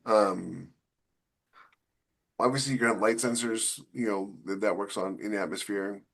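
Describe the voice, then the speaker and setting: A man with a fairly low voice talks as a co-host on a casual panel podcast. He explains things at length in a loose, rambling way. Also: steady tone, normal speed, creaky, a bit bright. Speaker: podcast co-host, science fiction author.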